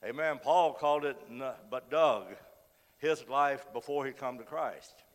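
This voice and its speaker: A man with a cold, hoarse as he preaches a sermon, reads scripture and says frequent amens. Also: medium pitch, measured pace. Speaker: guest preacher. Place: church sanctuary. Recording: long reverb of a church hall.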